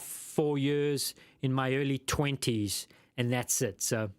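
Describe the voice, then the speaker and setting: South African male host, smooth resonant voice, rambling and self-deprecating live chat. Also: mid-range voice, no echo, clean studio sound. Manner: rambling, self-deprecating, lots of ums and restarts. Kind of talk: casual live chat reading viewer comments.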